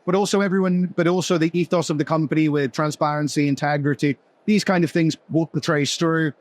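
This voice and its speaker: A man leading a business panel, asking long questions in a rapid flow. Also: on the high side, fairly even intonation, no echo. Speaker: company executive leading the panel. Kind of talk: panel conversation.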